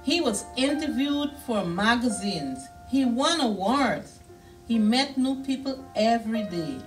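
Middle-aged female picture-book reader: animated intonation, deliberate and expressive, recorded from a few feet away with music behind. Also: on the high side, recorded from a distance, poor audio. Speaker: children's storyteller. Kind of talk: picture-book read-aloud for young children. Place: small indoor children's reading room.

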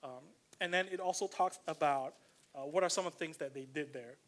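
A man's voice: fairly high pitch, a bit bright. A man with a Malaysian accent preaching a casual, conversational sermon in a church, reading scripture aloud with frequent fillers. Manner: conversational, filler-heavy, slows down to stress points. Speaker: pastor.

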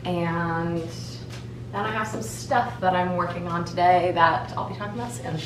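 A woman's voice: on the low side, steady tone, normal speed. An American woman in her twenties chatting casually as a vlogger. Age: 20s. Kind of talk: casual vlog chat. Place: indoors at home, rooms and kitchen. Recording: roomy home echo, some background noise.

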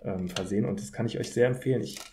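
A man's voice: fairly low pitch, quick pace, mellow, a little hiss or hum. A man answering interview questions conversationally as a podcast guest, in thoughtful, hesitant, academic phrasing. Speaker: podcast guest, political scientist.

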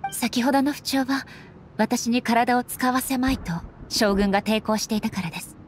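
A woman playing a solemn, resolute warrior goddess in a Japanese anime game, speaking formally in a grave, measured manner. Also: on the high side, a little hiss or hum, close to an everyday voice. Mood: calm, resolute. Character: solemn, resolute warrior goddess and her stern puppet shogun.

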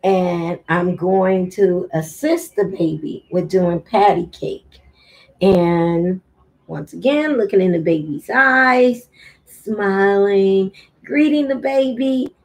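A middle-aged woman giving a deliberate demonstration for parents of babies, sometimes in singsong baby talk, recorded at home on a webcam microphone. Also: medium pitch, a little mellow. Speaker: early childhood teacher, host of infant read-along. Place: room at home.

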